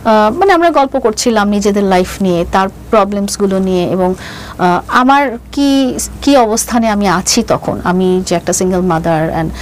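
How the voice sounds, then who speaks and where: A woman's voice: mid-range voice, lively intonation, moderate pace, poor audio. A middle-aged woman with a Bangladeshi accent telling her personal story as an interview guest, mixing in English phrases and often restarting as she hesitates. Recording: noticeable background noise.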